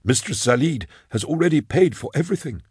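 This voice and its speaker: A man voicing a slender, formal undertaker in an audiobook, measured, deferential and mournful. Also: a little high, lively intonation, darkish tone, husky, dry recording, clean studio sound, pitched above the performer's own voice.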